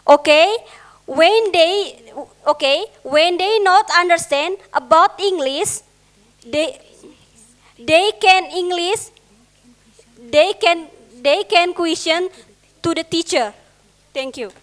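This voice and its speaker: Very high-voiced Indonesian schoolgirl debater. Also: a bit bright. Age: teens. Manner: reads a prepared speech with halting restarts. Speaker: student debater, opposition.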